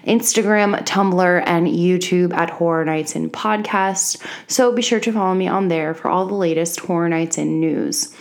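A young woman hosts a podcast from her home office in a relaxed, rambling chat. Her voice sits a little low, and she is slightly congested, as if from a cold. She peppers her talk with ums and likes. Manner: chatty, many fillers like um and like. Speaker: podcast host. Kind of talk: casual chat.

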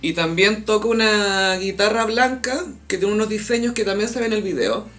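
A woman with a Chilean accent co-hosting a casual pop-culture gossip chat, chatty and full of slang and quick asides. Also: medium pitch, fairly bright tone. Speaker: podcast co-host.

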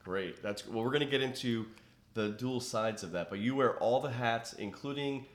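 Rambling middle-aged podcast host. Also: male voice, mid-range voice, normal speed. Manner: rambling, with many uh fillers and restarts. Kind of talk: conversational podcast interview.

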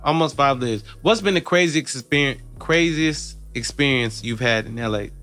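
A young man hosting a casual interview, pushing his guest with loud, teasing, profane banter. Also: medium pitch, normal speed, faint music behind. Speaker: host.

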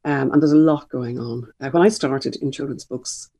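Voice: medium pitch, moderate pace, gravelly, warm tone. An older author and publisher with an Irish accent, speaking thoughtfully in an interview, with frequent ums and restarts. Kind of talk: reflective interview conversation.

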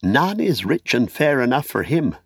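Man voicing an eager, courteous young hobbit, lifted pitch. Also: sing-song, normal speed, gravelly, slightly rough, no room sound, studio quality, exaggerated voice. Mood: proud, fond. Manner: polite, formal, old-fashioned phrasing. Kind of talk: audiobook character acting.

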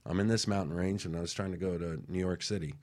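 Man, very low voice, talk-radio co-host, loose rambling delivery that keeps asking "right?". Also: steady tone, quick pace, a little mellow. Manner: rambling, repeats words, tags lines with "right?". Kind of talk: motivational talk show chat.

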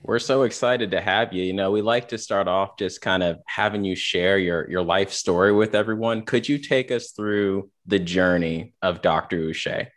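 A man's voice: low-pitched, normal speed, hardly any pauses. A man co-hosting a podcast, asking an interview question in an easygoing, inviting way. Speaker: podcast co-host. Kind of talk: casual interview.

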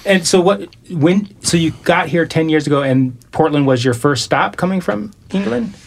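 A man's voice: a little high, moderate pace, creaky. A man hosting a casual podcast, who laughs often and stumbles over his segues. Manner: laughs often, fumbles transitions. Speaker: podcast host.

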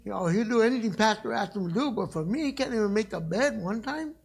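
A middle-aged man with a raspy voice, preaching a sermon in a church as a guest evangelist, passionate and conversational, joking with the congregation. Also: high voice, animated, quick pace. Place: church sanctuary.

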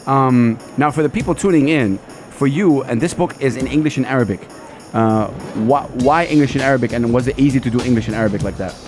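A man's voice: mid-range voice, fast, hardly any pauses, darkish tone. Hyped male radio host over music. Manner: hyped, loose slang, many fillers. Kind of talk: live radio chat. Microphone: studio microphone.

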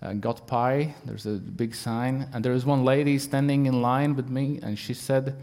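A man preaches with a Latvian accent, searching for words in English and filling pauses with "um" and "uh." His delivery is earnest and plain, at an ordinary middle pitch for a man. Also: fairly even intonation, a little mellow. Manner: halting English with many fillers, earnest. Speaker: guest preacher. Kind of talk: sermon.

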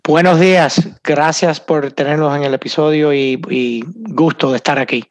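Man, hesitant answers, fairly high pitch, dry recording. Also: fairly even intonation, moderate pace, no room sound. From United States (raised in Miami). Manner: hesitant, many filler sounds. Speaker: doctor and founder, guest. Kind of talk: interview answers.

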